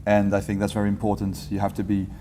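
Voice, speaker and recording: A man in his forties answering interview questions in a relaxed, meandering way, often correcting himself mid-sentence. His voice is low and mellow. The room gives a long reverberant tail, with faint ambience underneath. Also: fast talker, slight background noise. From England. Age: 40s. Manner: relaxed, rambling, self-correcting with light humor. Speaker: guest, entrepreneurship teacher.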